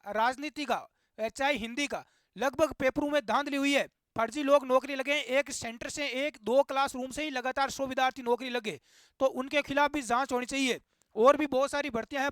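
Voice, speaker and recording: A man's voice: very high voice, a bit bright, no echo. A man giving impassioned, indignant commentary and urging his viewers on in an exhortative, repetitive way. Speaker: YouTube host.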